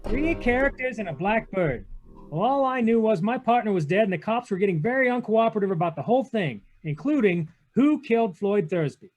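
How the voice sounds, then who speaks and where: An actor playing a wry, impatient private detective in a 1940s radio drama, terse and sardonic. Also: male voice, high-pitched, lively intonation, a little fast, darkish tone, faint music behind. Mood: wry narration. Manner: terse, sardonic, hard-boiled. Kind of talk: 1940s radio drama read-through with narration. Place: video call read-through.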